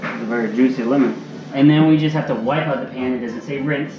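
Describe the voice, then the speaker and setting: A man's voice: medium pitch, quick pace. A man helping his partner cook at home, coaching and teasing her in casual chat. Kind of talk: casual cooking vlog chat. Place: home kitchen, indoors. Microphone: camera across the kitchen, away from the mic. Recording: distant, echoey, dull kitchen audio with music.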